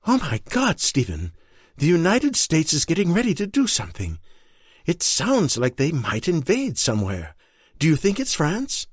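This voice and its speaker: A man voicing a frightened middle-aged woman in wartime who pleads in a high, shaky voice. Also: huge pitch swings, moderate pace, slightly rough, dry recording, clean studio sound, heavily acted. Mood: fearful.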